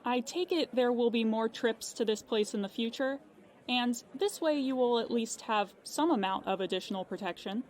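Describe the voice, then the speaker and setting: An actress playing a polite, unflappable insurance claims agent in an audio drama, precise and businesslike. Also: female voice, a little high, normal speed, darkish tone, lightly acted. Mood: polite, apologetic. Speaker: claims agent on a phone call.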